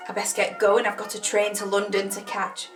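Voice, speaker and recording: A woman's voice: mid-range voice, monotone, moderate pace, natural voice. A woman playing an eager, bustling wartime village woman in a period drama. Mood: eager, busy. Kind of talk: amateur filmed period drama acting. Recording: echoey room with music behind.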